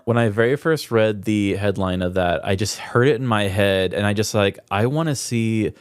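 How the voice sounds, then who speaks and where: A man's voice: on the low side, moderate pace, clear, resonant tone, no room sound, clean studio sound. A man co-hosting a podcast in casual, comedic chat. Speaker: podcast co-host.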